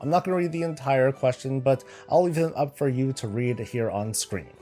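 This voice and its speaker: A man's voice: medium pitch, normal speed, mellow, faint music behind. A man from Northern California hosting a gaming channel, casually answering viewer questions with sarcastic asides. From United States, Northern California. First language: Filipino heritage, raised in the US. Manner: joking, sarcastic asides, candid.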